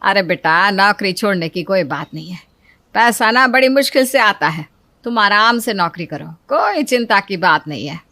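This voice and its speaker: A woman's voice: mid-range voice, expressive, moderate pace, sharp tone. Woman acting emphatic, motherly mother-in-law. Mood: reassuring. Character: middle-aged mother-in-law, practical and protective. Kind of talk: cartoon story dialogue.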